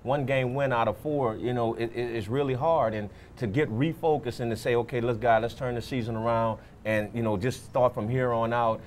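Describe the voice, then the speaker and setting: A man's voice: medium pitch, fairly even intonation, fairly quick, few pauses, a little hiss or hum. Male football analyst, repeats for emphasis. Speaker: sports show guest co-host.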